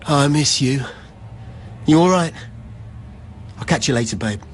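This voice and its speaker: A man's voice: medium pitch, expressive, a little slow, slight background noise, close to an everyday voice. A man acting a proud, weary middle-aged labourer in a radio play, speaking conversational verse with wry asides. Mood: wry, tender. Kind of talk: poetic radio drama acting.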